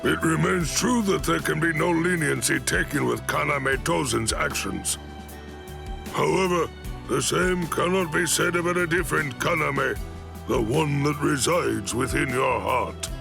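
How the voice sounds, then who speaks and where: A deeply creaking, gravelly old commander speaks with formal, measured authority. He is stern yet kindly, an aged head captain from an anime video game. His voice sits at an ordinary male pitch, with prominent music playing behind it. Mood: gently advising. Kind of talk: scripted anime game cutscene dialogue.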